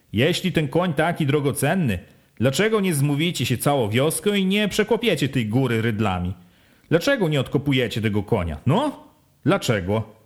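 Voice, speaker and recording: A man voicing a forceful village official who drives home his questions in a raised, insistent voice. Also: mid-range voice, pitched above the performer's own voice. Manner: rising, insistent questioning, loud and sharp. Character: forceful village official, a middle-aged man, pressing and sly.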